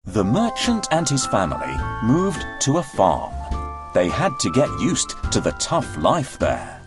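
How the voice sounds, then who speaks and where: A man narrating a scripted fairy tale for children with an expressive, deliberate storytelling delivery. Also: medium pitch, over music.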